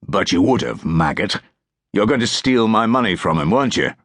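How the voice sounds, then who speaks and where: A man voicing a gruff, ageing Roman soldier who speaks in curt commands and flinty threats, in an audiobook. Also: lowish voice, expressive, moderate pace, a bit bright, dry recording, clean studio sound, pitched above the performer's own voice. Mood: menacing, curt.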